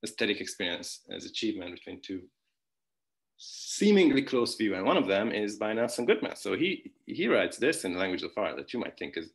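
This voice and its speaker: A man's voice: on the low side, sing-song, fairly quick, gravelly. A man whose first language is Hungarian, giving an online philosophy conference talk with many restarts, fillers and wry asides. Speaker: philosophy lecturer.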